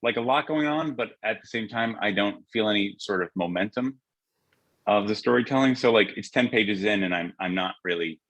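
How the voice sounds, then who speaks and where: A man on a panel giving an informal, blunt critique in a halting way. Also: lowish voice, a bit bright, dry recording. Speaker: script panellist.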